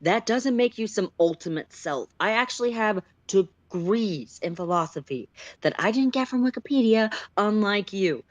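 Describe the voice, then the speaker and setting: A woman's voice: medium pitch, expressive, moderate pace, no room sound, natural voice. A woman playing an exasperated, sweary sylph philosopher who lectures and argues sarcastically in an amateur story reading. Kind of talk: amateur audio fiction read aloud live.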